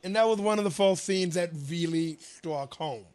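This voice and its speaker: Man, documentary maker, slightly high-pitched, emphatic, thoughtful, blunt. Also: moderate pace, darkish tone, clean tone. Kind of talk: reflective conversation.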